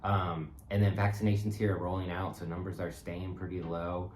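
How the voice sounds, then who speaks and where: A man co-hosting a travel vlog, chatting casually in a relaxed, halting way with many fillers. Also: sits low. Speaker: vlog co-host. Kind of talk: casual chat. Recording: echoey room with faint noise.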